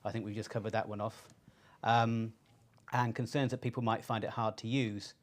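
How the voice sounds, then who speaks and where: A man's voice: lowish voice, flat delivery, normal speed. A man presenting research findings to a panel in an informal, explanatory manner.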